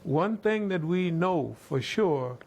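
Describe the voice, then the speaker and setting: A man with a fairly high voice speaks as an imam on a discussion panel. He talks slowly and deliberately, weighing each phrase, with steady pauses between thoughts. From United States. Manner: slow, measured, deliberate. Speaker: imam answering questions.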